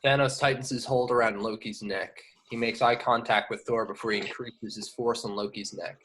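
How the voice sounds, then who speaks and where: A man's voice: mid-range voice, fairly even intonation, moderate pace, a bit bright. A man narrating scripted action descriptions at a table read. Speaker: stage direction narrator.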